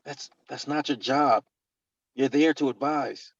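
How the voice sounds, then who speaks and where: A man acting a worried military captain in a script read-through, with a raspy mid-pitched voice. He pleads earnestly and urgently, holding himself back, on a slightly muffled recording. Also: measured pace, dry recording. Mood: angry, urgent. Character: worried military captain pleading with his brother-in-arms, male. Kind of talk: dramatic script table read.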